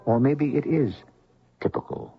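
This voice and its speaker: An actor playing a wry, brooding radio mystery host, narrating in a deliberate, literary, theatrical way. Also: male voice, medium pitch, expressive, vocal fry. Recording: dull vintage radio recording, music underneath.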